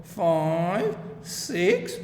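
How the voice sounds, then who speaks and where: Man acting greedy old farmer, storybook character, emphatic, slow, echoing room. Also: sits high, pitched above the performer's own voice, exaggerated voice. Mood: gloating. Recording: echoey room, long reverb, steady background noise.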